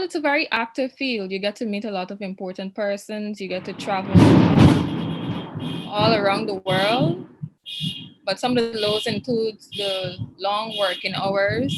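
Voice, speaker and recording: A young woman giving polite, measured interview answers in formal phrasing. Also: mid-range voice, background noise. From Guyana. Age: young adult. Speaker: interview guest, journalist.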